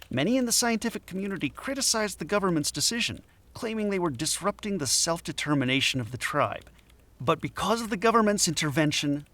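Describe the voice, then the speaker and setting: A man's voice: fairly high pitch, a bit bright. A man playing a passionate, earnest anthropology professor in his late thirties in an audio drama. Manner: earnest, emphatic lecturing. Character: passionate, frazzled 39-year-old male anthropology professor.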